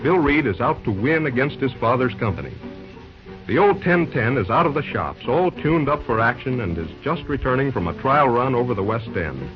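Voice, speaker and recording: A man's voice: medium pitch, expressive, moderate pace. A man announcing an old-time radio serial in scripted narration. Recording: muffled old radio recording, faint music.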